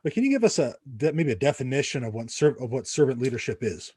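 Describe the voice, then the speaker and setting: Fast-talking male podcast host, dry sound. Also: mid-range voice, big pitch swings, dark tone, slightly rough, dry recording. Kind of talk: hosting an interview.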